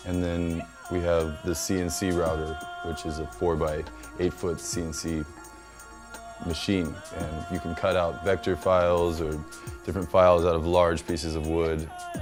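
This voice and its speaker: An American man casually showing people around as a workshop member, speaking in a halting, hesitant way. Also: sits low. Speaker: community workshop member giving a tour. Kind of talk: casual informal explanation.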